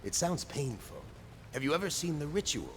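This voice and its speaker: A man's voice: a little high, big pitch swings, normal speed, in a large hall, slight background noise. An actor playing a curious junior male member of a religious order in a video game, inquisitive in manner.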